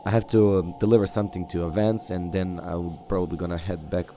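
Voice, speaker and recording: Low-voiced, halting male gamer. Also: little pitch movement, warm tone, a little hiss or hum. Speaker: gamer role-playing a game character. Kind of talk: improvised in-character game dialogue. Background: game music.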